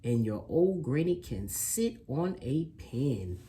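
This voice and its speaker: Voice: mid-range voice, sing-song, takes it slowly, background noise. A reader voicing a rude, curt little pig who snaps dismissively in a children's storybook. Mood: irritated. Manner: dismissive, snappish. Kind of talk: children's picture book read aloud.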